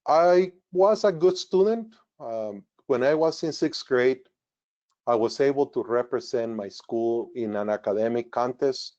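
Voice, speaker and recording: A man's voice: mid-range voice, unhurried, darkish tone. A man in his sixties with a Mexican Spanish accent, a native Spanish speaker who grew up in northern Mexico, giving an earnest, explanatory webinar talk as a retired engineer, with frequent fillers. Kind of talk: presentation talk over slides. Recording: dull lo-fi webinar audio.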